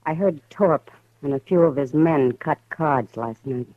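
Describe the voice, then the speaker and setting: An actress playing a worried grown female saloon keeper who speaks softly and hesitantly, in a 1950s radio western. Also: low voice, measured pace, dry recording. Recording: dull old radio broadcast.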